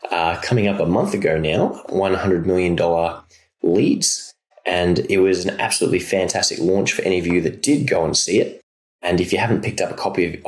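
Australian male podcast host, very low voice, getting over a throat infection, recorded in a roomy space. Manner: chatty and rambling. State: recovering from a sore throat and chest infection. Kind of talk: casual solo podcast talk.

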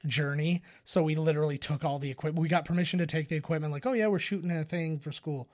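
A man with a moderately high voice talks casually on a movie podcast. He explains background details at length in informal language, with the odd swear word. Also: fast talker. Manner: explanatory, trivia-laden, sweary. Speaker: podcast co-host. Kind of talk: casual movie commentary chat.

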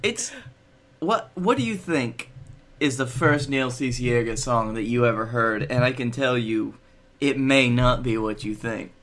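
A man's voice: mid-range voice, expressive, unhurried, fairly bright tone, slight background noise. A man co-hosting a comedy podcast in casual, playful, sweary banter. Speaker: podcast co-host.

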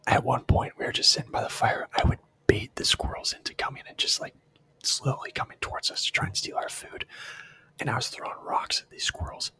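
A man whispering a rambling, profane camping story in a relaxed, self-amused way. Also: a little fast, poor audio. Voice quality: breathy whisper.

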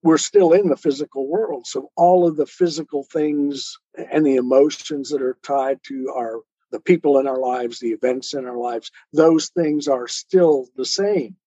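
A man's voice: medium pitch, measured pace, few pauses, dark tone, slightly rough. A man speaking as an interview guest, earnestly explaining spiritual ideas step by step in a didactic way. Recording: muffled, roomy, lo-fi sound.

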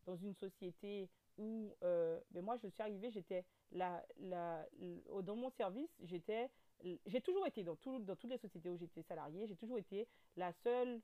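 A woman in her thirties with a Guadeloupean French accent, a guest telling her story in a casual interview, with lots of fillers, restarts and animated turns. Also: medium pitch, moderate pace. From French overseas territory. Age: 30s.

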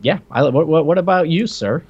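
A man's voice: fairly high pitch, lively intonation, fairly quick, a little mellow, husky. Man on a dull, lo-fi podcast recording. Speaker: podcast co-host reviewing films. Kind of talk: casual film discussion. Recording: slightly dull, lo-fi audio.

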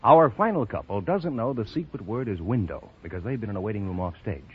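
A man's voice: lowish voice, lively intonation, fast, husky. A man announcing a 1940s radio show in a formal, presentational way. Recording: muffled old radio recording.

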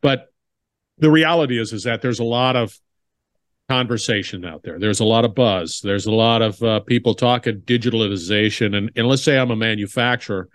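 A man's voice: fairly low pitch, a little fast. A middle-aged man hosting a podcast, upbeat and emphatic, often repeating words as he talks. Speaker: podcast host. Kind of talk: podcast intro and interview.

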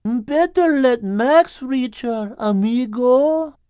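A man voicing a grave, wise female animal in a measured manner for an audiobook. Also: very high voice, lively intonation, warm tone, clean tone, no echo, voice pushed up, heavily acted. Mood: grave concern. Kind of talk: audiobook character acting.

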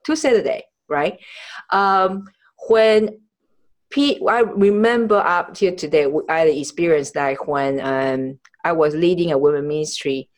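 Female podcast host from Taiwan, lower register, conversational storyteller, halting non-native English with searching pauses. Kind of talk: conversational interview, personal storytelling.

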